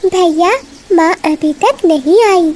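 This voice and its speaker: Casting: fretful little cartoon sister bird, extremely high, slow and soft-toned. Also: female voice, slight background noise. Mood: worried. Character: worried little girl bird, younger sister. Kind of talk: animated moral-story cartoon dub.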